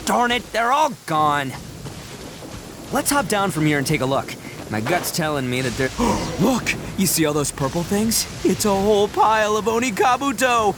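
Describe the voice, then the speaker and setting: Brash young oni, game character, boastful, rowdy, booming laughs, very lively pitch. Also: male voice, background noise, heavily acted. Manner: swaggering, boisterous, booming laughs. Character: brash boastful young oni man, beetle-fighting braggart. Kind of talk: video game dialogue.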